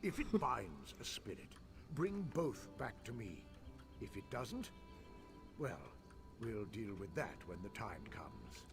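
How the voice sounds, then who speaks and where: An actor playing a scholarly, impatient fantasy-game mage who muses pedantically and talks down to people. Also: male voice, lowish voice, sing-song, takes it slowly, gravelly, music in the background, heavily acted. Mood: intent. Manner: pedantic, musing, condescending. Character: scholarly elf mage, fascinated by spirits, impatient. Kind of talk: video game character acting.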